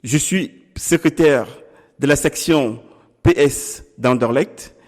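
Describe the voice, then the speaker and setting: A middle-aged man from Cameroon, a political candidate answering interview questions in a deliberate, formal way with pauses. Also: medium pitch, in a large hall. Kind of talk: measured campaign interview answers.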